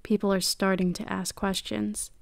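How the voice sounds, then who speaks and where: A woman playing a cold, gravelly commanding leader. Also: medium pitch, little pitch movement, moderate pace, no room sound, studio quality, close to an everyday voice. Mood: stern.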